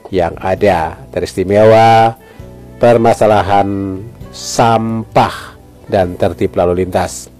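A male radio host speaks in a low, bright voice with an Ambonese Malay accent. He is jovial and chatty as he takes calls and reads listener messages, with music playing behind him. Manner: jovial, often chuckling. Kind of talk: late-night radio call-in chat, reading listener messages. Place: radio studio. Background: music in the background.

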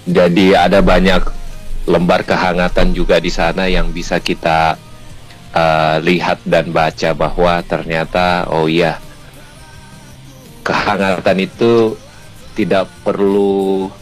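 Man, very deep, flat, earnest, reflective, faint music. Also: slow pace, long pauses, rough recording. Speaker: film actor, guest. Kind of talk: radio interview message.

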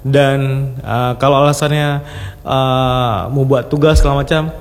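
A man's voice: medium pitch, level tone, unhurried. A man speaking as a business-owner guest in a casual radio interview, conversational and illustrating with acted-out examples. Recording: reverberant hall-like room.

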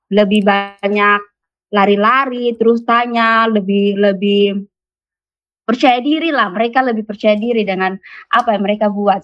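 A woman who mentors children speaks casually as a radio guest, halting and restarting as she goes. The sound is dull and lo-fi, dry and close. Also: mid-range voice, no echo. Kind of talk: radio talk show guest.

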